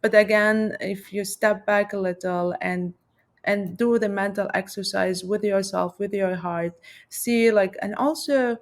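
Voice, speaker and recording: A woman's voice: medium pitch, fairly even intonation, unhurried. A middle-aged woman from Lebanon, a math professor talking reflectively as an interview guest, with many fillers and restarts. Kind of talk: casual interview talk.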